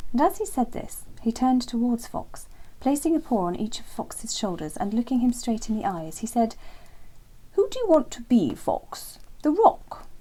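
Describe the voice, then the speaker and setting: A woman narrating a children's bedtime story in a gentle, expressive storyteller's manner. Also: mid-range voice, poor audio.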